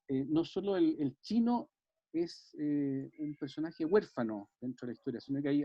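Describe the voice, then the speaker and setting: A man's voice: fairly high pitch, dry recording. A man moderating an online book talk, asking long questions with many hesitations and tag questions. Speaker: moderator reading audience questions. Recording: dry muffled video call audio.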